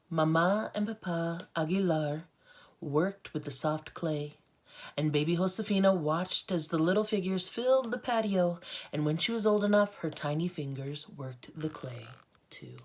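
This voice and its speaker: A woman's voice: lowish voice, a little slow, darkish tone, slight background noise. A woman with an American accent reading a storybook aloud as an art teacher, with informal, encouraging asides to children.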